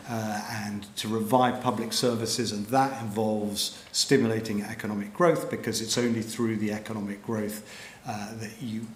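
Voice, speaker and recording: A middle-aged man with a British accent, a journalist giving a measured panel talk with frequent ums and restarts. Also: on the low side, a little hiss or hum. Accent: British English. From Britain. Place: lecture hall. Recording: echoey hall with faint ambience.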